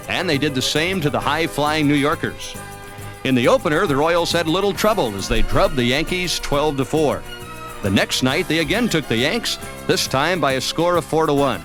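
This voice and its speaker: An American man reading scripted sports documentary narration as the narrator of a highlight film. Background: background music.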